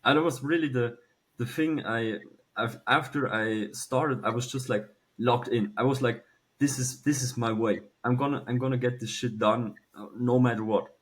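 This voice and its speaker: A man, telling a personal story casually and haltingly, with some swearing. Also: medium pitch, fairly even intonation. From Switzerland. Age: early 20s. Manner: halting, informal, swears freely. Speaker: podcast guest, young entrepreneur.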